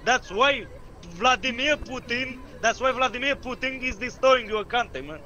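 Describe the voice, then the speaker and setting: A man's voice: very high-pitched, moderate pace, bright, forceful tone, lo-fi sound, a little hiss or hum. A man chatting and arguing casually on game voice chat, repeating himself insistently. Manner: repetitive, insistent. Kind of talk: casual argumentative banter.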